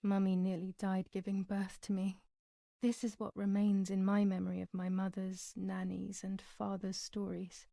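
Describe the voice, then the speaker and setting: Actress as a haunted young woman, flat and creaky. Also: medium pitch, no echo, clean studio sound, lightly acted. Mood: reflective. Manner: sombre, measured, confessional. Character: traumatized young German woman recounting wartime horrors, haunted. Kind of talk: dramatic first-person game narration.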